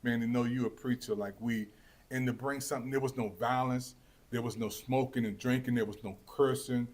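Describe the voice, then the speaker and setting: A man's voice: medium pitch, fairly even intonation, a little fast, darkish tone. A man interviewing guests for a TV network in casual, enthusiastic chat, effusive and full of exclamations.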